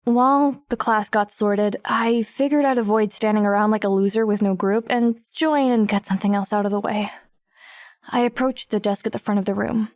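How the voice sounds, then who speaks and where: A woman's voice: medium pitch, fairly even intonation, moderate pace, a little mellow, dry recording, clean studio sound, natural voice. An actress playing a wry, self-conscious teenage girl narrating her own story in an audiobook. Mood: wry, reflective. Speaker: lead narrator. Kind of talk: first-person audiobook narration.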